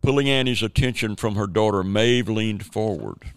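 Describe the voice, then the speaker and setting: A man's voice: on the low side, normal speed, clean tone, dry recording. A man narrating a scripted radio drama as its storyteller.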